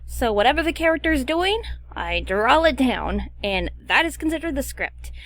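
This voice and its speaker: Casting: young woman animator vlogger, higher voice, goofy and self-deprecating, dry close-mic voice-over. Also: big pitch swings, moderate pace, a bit bright, no room sound. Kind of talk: casual chatty voice-over about own art process.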